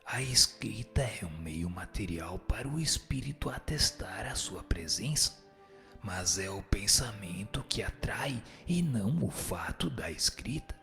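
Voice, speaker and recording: A man's voice: fairly low pitch, lively intonation, a little slow, pushed and bright, soft background music, heavily acted. A man playing a solemn spirit instructor in a radio drama, reciting didactic answers in an admonishing, formal way. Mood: solemn, instructive. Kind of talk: dramatized reading of a spiritist text.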